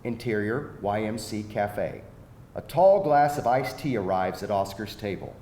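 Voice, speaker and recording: The room is reverberant, with faint background noise. A man reads screenplay action aloud as narrator, using expressive, varied intonation and deliberate storytelling pauses. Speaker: narrator reading screenplay directions. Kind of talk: scripted narration, live table read. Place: echoing indoor venue at live reading. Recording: echoey live room, faint rumbling noise.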